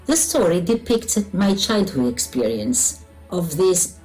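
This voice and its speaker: Woman from Algeria and Italy, very low and animated, soft music behind. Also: takes it slowly, rough recording. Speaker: author. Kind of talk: recorded book introduction.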